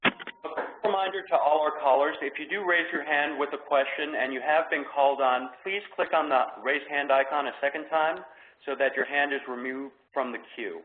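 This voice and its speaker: A man's voice: a little high, slight background noise. Man moderating over an echoey phone line. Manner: polite, measured, reads questions aloud. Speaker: call moderator. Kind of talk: conference call moderation.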